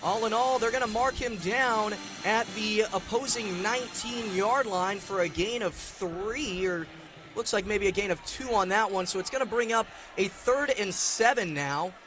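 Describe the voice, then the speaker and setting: A man calling live sports play-by-play for radio in an excitable way, raising his voice to a shout on big plays. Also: high-pitched, moderate pace, music in the background. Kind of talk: live radio football and basketball play-by-play commentary. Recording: clearly noisy live broadcast sound.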